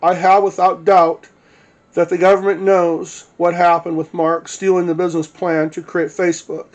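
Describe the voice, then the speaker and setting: A man with a fairly high-pitched voice reads a written witness statement aloud. He acts as the narrator, delivering prepared text. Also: level tone, normal speed, muffled recording.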